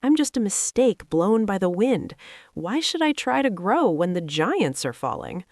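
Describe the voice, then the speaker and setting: A woman's voice: medium pitch, sing-song, unhurried, darkish tone, no room sound, clean studio sound. A woman voicing a tiny male seed in a storybook, meek, lonely and resigned. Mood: resigned, sad.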